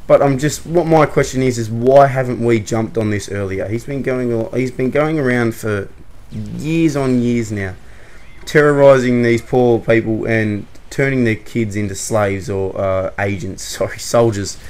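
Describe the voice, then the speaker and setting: A man's voice: medium pitch, a little slow, darkish tone. A young man, giving a casual, rambling opinion commentary to camera. He corrects himself often and laughs a little. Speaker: YouTuber.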